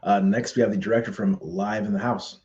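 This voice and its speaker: A man interviewing a film director over a video call, asking relaxed, conversational questions. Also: on the low side, brisk, roomy sound, highs cut off. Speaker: festival interviewer. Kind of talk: remote interview.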